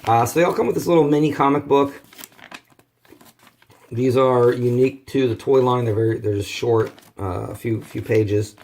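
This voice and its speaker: A hoarse man, rambling casually through a toy collector's unboxing video. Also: mid-range voice, normal speed, a little mellow. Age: 30s. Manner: rambling, many fillers and restarts. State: hoarse from bronchitis.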